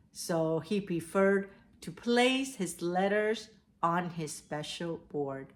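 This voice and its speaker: A woman hosting a read-aloud for children, telling stories and giving advice in a deliberate way. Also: medium pitch. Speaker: host of a children's read-aloud storytelling show. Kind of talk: storytelling and advice addressed to children.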